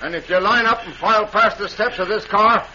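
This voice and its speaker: A man's voice: high voice, fairly quick, close to an everyday voice. An actor playing a helpful, folksy townsman in an old-time radio western, with plain cowboy talk. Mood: hearty. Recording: muffled old radio recording, crowd behind.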